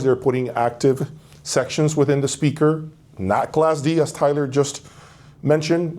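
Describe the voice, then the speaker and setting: A man's voice: mid-range voice, warm tone. A man hosting a casual product presentation, talking directly to viewers. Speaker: host of an audio review channel.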